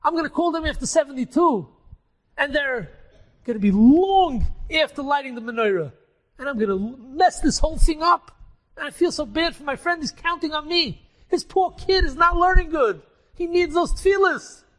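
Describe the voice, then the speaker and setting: A man doing a fretful, devout man with a high, climbing voice. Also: expressive, normal speed, a bit bright, big-room sound, rough recording. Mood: distressed. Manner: exclaiming, pleading, rising pitch. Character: devout man, flustered and anxious.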